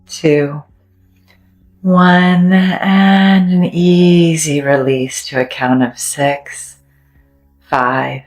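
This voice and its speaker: A woman leading a guided meditation in a gentle, soothing, deliberate way, leaving long pauses between phrases. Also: sits low. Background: soft background music.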